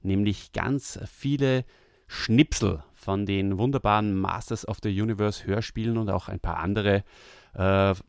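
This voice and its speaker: A man hosting a podcast, chatting casually and relaxed with many fillers. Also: lowish voice, a little slow, vocal fry, clear, resonant tone, dry recording, studio quality. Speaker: podcast host.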